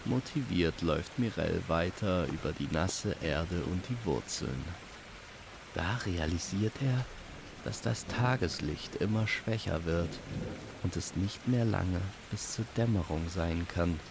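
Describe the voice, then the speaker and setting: Deep, slow male storyteller with gravel. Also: background noise. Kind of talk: scripted fantasy story narration.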